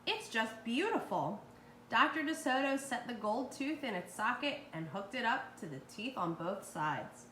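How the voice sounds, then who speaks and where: A woman's voice: on the high side, animated, moderate pace, few pauses, bright, forceful tone. A woman reading a children's story aloud expressively as a storyteller. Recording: roomy, echoey with faint noise.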